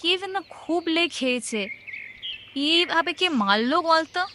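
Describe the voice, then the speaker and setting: Woman playing worried cartoon village aunt, plaintive, slow n high, faint birds behind. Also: animated, bright, forceful tone, slight background noise, full character voice. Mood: worried, upset. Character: troubled village auntie in a ghost story.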